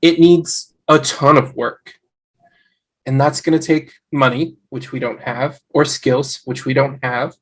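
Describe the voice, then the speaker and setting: Bright, sarcastic young husband played by a man. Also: medium pitch, steady tone, measured pace. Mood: sarcastic exasperation. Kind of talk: sitcom table read.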